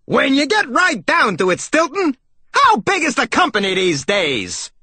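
A man voicing a pushy, exuberant salesmouse in a storybook, with a loud, squeaky voice. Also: wildly swooping pitch, slow, no room sound, full character voice. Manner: shouting, interrupting, sloganeering. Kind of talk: audiobook character voice.

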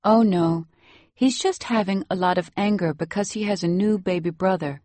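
A woman's voice: mid-range voice, moderate pace, no echo, voice pushed up, lightly acted. A woman narrator voicing a patient, apologetic nanny in her forties with a Salvadoran accent, speaking hesitantly in an audiobook. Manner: soft-spoken little breaths, hesitant.

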